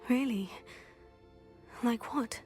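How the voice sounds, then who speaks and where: This is a teenage girl student of magic in a video game. She is courteous, composed and boldly curious, with a voice on the high side. Light music plays behind her. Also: very slow, darkish tone. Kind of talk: video game dialogue acting.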